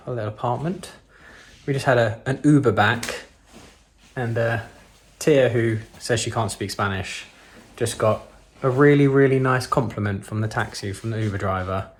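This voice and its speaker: A man vlogging in a relaxed, rambling way, full of ums and restarts. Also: lowish voice, slight background noise. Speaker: travel vlogger. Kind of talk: casual chat to camera.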